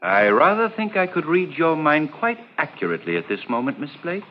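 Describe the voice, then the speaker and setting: An actor playing an amused, cold-blooded murderous doctor in old radio style, smug and condescending. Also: male voice, on the high side, big pitch swings, a little fast, a little hiss or hum. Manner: smug, philosophical, condescending, chuckling. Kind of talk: 1940s radio acting. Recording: dull old radio recording.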